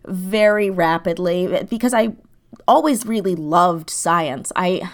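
A woman's voice: fairly low pitch, takes it slowly. A woman in her twenties, chatting casually as an interview guest in an enthusiastic, informal way. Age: 20s. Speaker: interview guest, audio drama creator.

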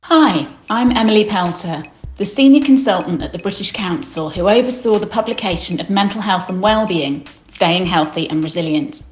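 A woman, a senior consultant, presenting a publication in a steady, formal, scripted way. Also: mid-range voice, normal speed, few pauses, soft background music. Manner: steady, formal read-out delivery. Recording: roomy echo.

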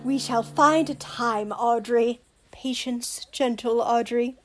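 A woman's voice: a little high, fairly even intonation, takes it slowly, fairly bright tone, faint music behind. A woman playing a wooing court jester in a Shakespearean radio play. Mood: coaxing. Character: Touchstone, court jester clown courting a country girl.

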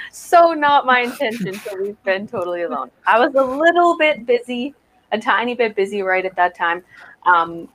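A woman chatting on a video call, laughing easily between her sentences. Her voice sits in an ordinary middle register, relaxed and conversational. She speaks as an industry council representative in a loose panel talk. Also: medium pitch, a little hiss or hum, low-quality recording. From Canada. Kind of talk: casual chat. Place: home room with green screen.

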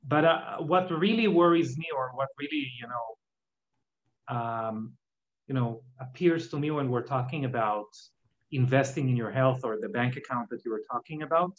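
A man's voice: mid-range voice, normal speed. A man from Israel with an Israeli accent, talking reflectively in a casual conversation and explaining his points with examples. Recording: muffled lo-fi audio.

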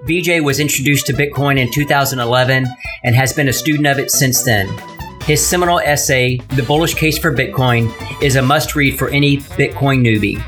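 A man's voice: mid-range voice, a little fast, over music. A man hosting a podcast, presenting and talking as an interviewer. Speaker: podcast host. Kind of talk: scripted intro and sponsor read, then casual interview.

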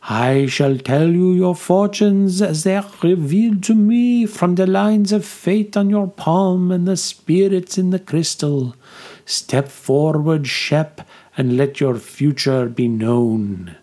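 A man voicing a mysterious woman fortune-teller with a husky voice and a strange accent, speaking in slow, solemn pronouncements. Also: high-pitched, mellow, voice pushed up. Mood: mystical.

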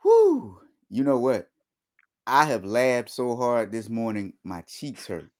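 A man's voice: medium pitch, wildly swooping pitch, slow pace, darkish tone. A man hosting a live podcast, joking casually with his guest and reading out viewer questions. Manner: joking, conversational. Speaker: podcast host. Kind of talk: casual live-stream chat.

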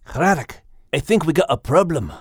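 Max, animated Scottish dog. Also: male voice, a little high, normal speed, slightly rough, no echo, clean studio sound. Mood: urgent, hushed. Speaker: podcast co-host in character. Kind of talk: animated character acting for children's audio story.

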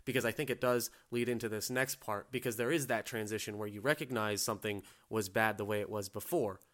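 Low-voiced guy hosting a podcast, calm and reflective, lots of yeahs and mm-hmms. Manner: measured, reflective, affirming. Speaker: podcast host. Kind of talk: casual conversation.

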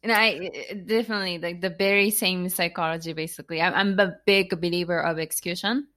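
Chatty Japanese-accented woman, low voice. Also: steady tone, quick pace, fairly bright tone. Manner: chatty, with many fillers, restarts and laughs. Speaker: guest author and entrepreneur. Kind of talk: casual interview conversation.

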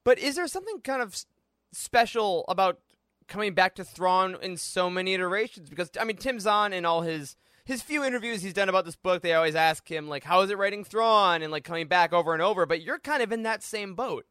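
A man's voice: sits high, animated, fairly quick, fairly bright tone, smooth, dry recording, clean studio sound. A man hosting a podcast, asking questions in a casual, enthusiastic and agreeable way. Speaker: podcast host, interviewer. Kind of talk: casual chat.

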